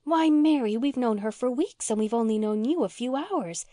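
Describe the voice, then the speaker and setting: A woman voicing a gentle, earnest young minister's daughter who speaks softly and eagerly. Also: mid-range voice, brisk, dry recording, studio quality, close to an everyday voice. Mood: pleading. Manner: soft, eager, kindly.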